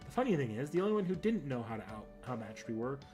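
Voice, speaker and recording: A man's voice: medium pitch, sing-song, quick pace, a little mellow. A man reading a game's story narration aloud, with music playing behind him. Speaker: game narrator, club member.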